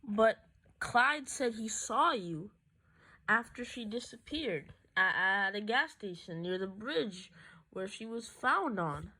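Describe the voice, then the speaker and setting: A woman's voice: medium pitch, animated, slow pace, bright, forceful tone, exaggerated voice, poor audio. A woman playing an earnest, determined detective's assistant who narrates a radio play in plain first-person storytelling. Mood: calm.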